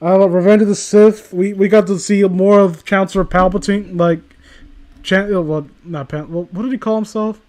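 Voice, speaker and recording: A man with a high-pitched voice chats casually and rants as he goes. He rambles through his opinions, exasperated and sweary. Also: steady tone, normal speed, a little mellow. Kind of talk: casual ranting chat.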